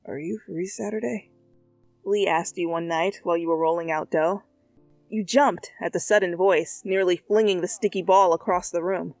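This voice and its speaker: A woman reading fan fiction aloud in an expressive, comedic style, with dramatic and conversational asides, close to the mic. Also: on the low side, normal speed, dry recording. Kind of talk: expressive, comedic story narration. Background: faint background music.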